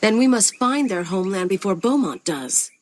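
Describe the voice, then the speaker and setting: An actress with an American accent playing a determined, dry-witted adventurer heroine in a video game, plain-spoken and resolute. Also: female voice, medium pitch, expressive, moderate pace, a little mellow, no echo, lightly acted. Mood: reflective, wry. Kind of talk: dramatic video game voice acting and narration.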